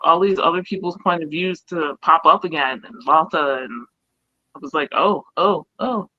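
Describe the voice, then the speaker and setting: A woman's voice: low voice, moderate pace, dry recording. A woman chatting informally about books on a slightly muffled video call, phrasing hesitantly and repeating herself. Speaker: book discussion panelist. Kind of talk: casual book discussion chat. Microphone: online group video call.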